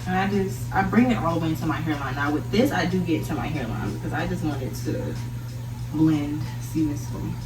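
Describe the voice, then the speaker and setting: A woman's voice: low-pitched, animated, fairly quick. A young woman vlogger chatting casually to camera, giving an emphatic, intimate girlfriend-to-girlfriend pep talk. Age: young adult. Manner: emphatic, intimate pep-talk delivery, addressing listener as girl and baby. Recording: roomy echo, distant mic, music behind. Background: background music.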